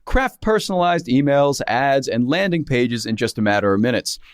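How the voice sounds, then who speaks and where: A man with a medium-pitched voice reads scripted advertising copy as an announcer. The recording is very dry, with no room sound. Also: animated, moderate pace, smooth, dry recording. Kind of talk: scripted ad read.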